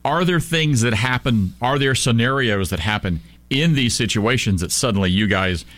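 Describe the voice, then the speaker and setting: A man's voice: mid-range voice, expressive, normal speed, a bit bright, dry recording. A man co-hosting a radio show, bantering casually, joking and prompting with questions. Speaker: radio co-host. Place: radio studio.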